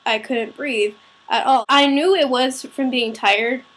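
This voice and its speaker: A young woman with a fairly high, husky voice, sounding slightly sick. She speaks as a vlogger sharing something personal, in a hesitant, informal and self-conscious way. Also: a little slow, fairly bright tone. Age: young adult, student. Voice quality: raspy. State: slightly sick with a cold-like rasp. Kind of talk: personal talk to camera.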